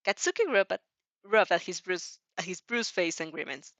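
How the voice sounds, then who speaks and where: A woman's voice: on the low side, lively intonation, moderate pace, a bit bright, dry recording. A woman narrating fan fiction dramatically, often stumbling and rephrasing her lines as she reads. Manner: repeats and rephrases phrases.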